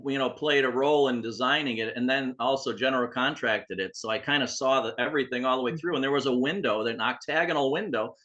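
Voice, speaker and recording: A man's voice: mid-range voice, fast talker, hardly any pauses, fairly bright tone, highs cut off. A middle-aged man, a guest author, talks through long anecdotes in a chatty, rambling way on a casual interview call. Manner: chatty storyteller, rambling asides.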